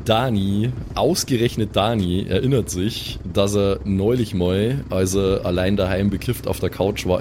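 A man's voice: lowish voice, background noise. A man narrating an improvised tabletop game as the game master.

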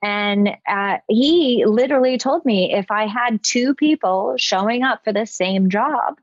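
A middle-aged woman speaking earnestly and haltingly as a guest in a reflective discussion, on a muffled lo-fi microphone. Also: a little high, no room sound. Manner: earnest, halting as she forms thoughts. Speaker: podcast guest.

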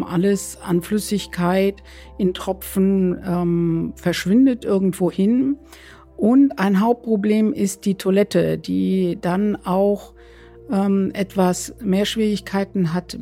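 A woman's voice: mid-range voice, vocal fry, warm tone. A woman giving explanatory interview answers as an expert, hesitant and searching for words. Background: background music.

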